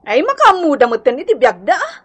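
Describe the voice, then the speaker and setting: A woman's voice: high-pitched, wildly swooping pitch, a little fast. A woman acting a stingy, curt and dismissive daughter-in-law in a radio comedy drama, with an Ilocano accent. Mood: defiant.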